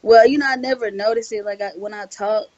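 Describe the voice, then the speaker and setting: A woman's voice: medium pitch, fairly quick, dry recording. Rambling young woman, dry lo-fi sound. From Southern United States. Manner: rambling, says "like" a lot, sometimes loud, sometimes monotone. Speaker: guest voice actress. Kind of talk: casual interview answers.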